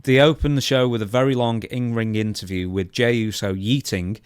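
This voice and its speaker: A man's voice: medium pitch, fairly even intonation, normal speed, clean tone, no room sound. Male podcast host, mid-range voice, casual interviewing, very dry recording.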